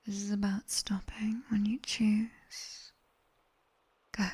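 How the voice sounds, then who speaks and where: A British woman playing a sharp-tongued, teasing demoness tutor in a soft, whispered ASMR roleplay. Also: medium pitch, flat delivery, breathy edge. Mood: soothing, guiding. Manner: slow, hushed, teasing and bossy.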